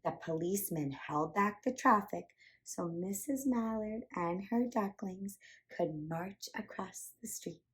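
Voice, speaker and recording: Expressive woman reading a picture book aloud. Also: medium pitch, measured pace. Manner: expressive, emphatic delivery with playful emphasis. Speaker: children's storytime reader and host.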